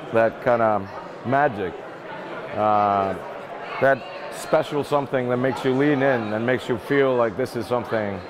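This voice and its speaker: A middle-aged man from Iceland giving casual interview answers, thinking aloud and repeating words as he goes. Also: mid-range voice. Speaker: interview guest, game publishing executive. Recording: busy event crowd behind the voice.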